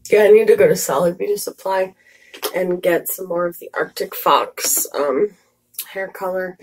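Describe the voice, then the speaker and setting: A woman with a scratchy, slightly hoarse voice, chatting casually through a makeup tutorial with rambling asides and fillers. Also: lowish voice, moderate pace. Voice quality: scratchy, raspy voice. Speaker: makeup vlogger.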